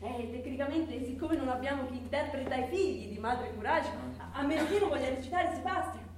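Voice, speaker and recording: A woman's voice: high voice, fairly quick, noisy background, close to an everyday voice. A young woman playing a bossy, nitpicking theatre troupe member in comic stage banter. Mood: fussy. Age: young adult. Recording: very echoey room from far off, music.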